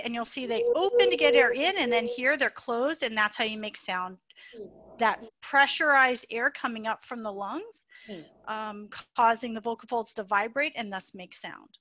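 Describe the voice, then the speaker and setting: A woman doctor giving a webinar lecture on the voice, explaining clearly with plain comparisons over a video call. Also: fairly high pitch, moderate pace, fairly bright tone, muffled recording, a little hiss or hum. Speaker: voice doctor presenting.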